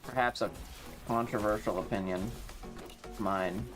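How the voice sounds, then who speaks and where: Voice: medium pitch, steady tone, unhurried, over music. An American game streamer giving casual live commentary, swearing often, exclaiming and repeating phrases. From North Carolina, US.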